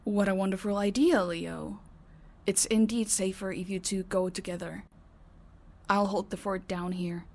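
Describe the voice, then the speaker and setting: Soft, even-toned caring vampire woman, voiced by an actress. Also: mid-range voice, unhurried. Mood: reassuring. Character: caring, calm female vampire who looks after her housemates. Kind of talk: audio roleplay acting.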